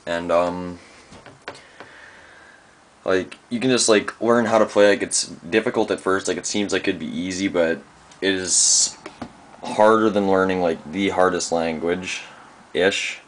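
A young man giving a casual home tutorial in a laid-back, halting way with lots of filler, recorded on a camera's built-in microphone in a small room. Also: low-pitched, fairly even intonation. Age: young adult. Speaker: amateur musician demonstrating gear. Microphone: handheld camera's built-in microphone. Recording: noisy amateur camera microphone.

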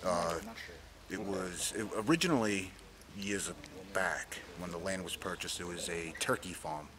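Low-voiced middle-aged man, halting delivery. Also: noisy background. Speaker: local guest explaining site history. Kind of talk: informal unscripted explanation. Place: inside a large dark abandoned building at night. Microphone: camera a few feet away. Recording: camera audio with people chattering behind.

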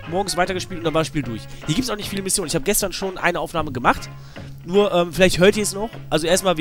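A man with a slight cold giving rambling, informal, slangy let's play commentary. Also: on the high side. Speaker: let's player. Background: video game music.

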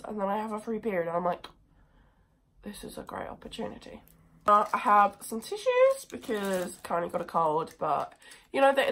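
A teenage girl from England with a cold and a croaky voice, chatting casually and with a self-mocking liveliness to a phone camera in her bedroom. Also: mid-range voice, fairly bright tone. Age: teens. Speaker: vlogger. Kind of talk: casual chat. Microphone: phone camera at desk distance.

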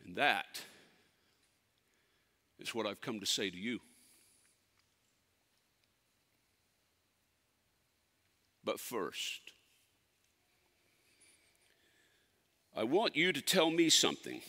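A man performing a theatrical monologue as a solemn, commanding ancient prophet, speaking deliberately with dramatic pauses. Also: medium pitch, sing-song, pushed and bright, full character voice. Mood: hushed, probing. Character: prophet Isaiah, solemn, commanding, counseling a fearful king. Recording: echoey hall with long reverb.

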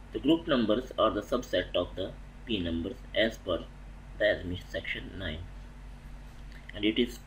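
Man, lower voice, slide lecture voice-over, methodical, halting, faint noise. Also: rough recording. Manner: methodical, halting, restarts mid-phrase. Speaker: welding inspection instructor. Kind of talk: slide-based technical lecture.

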